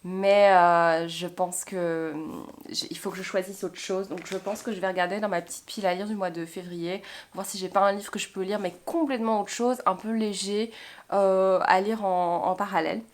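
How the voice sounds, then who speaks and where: A woman from Belgium talks casually to camera as a book vlogger. Her voice sits at a medium pitch for a woman. She is chatty and informal, with a self-deprecating way of talking. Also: fairly even intonation, normal speed.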